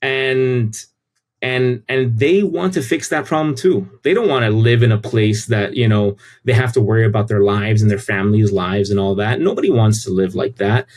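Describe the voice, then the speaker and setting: A man's voice: on the low side, moderate pace, a little mellow. A man, talking casually and informally as an interview guest, often repeating phrases for emphasis. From United States. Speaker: interview guest, tech company CEO.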